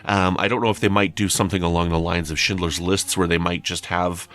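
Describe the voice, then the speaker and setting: A man's voice: low-pitched, fairly even intonation, fairly quick, fairly bright tone. A man giving casual listener feedback, conversational and a little hesitant as he thinks aloud. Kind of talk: casual spoken review.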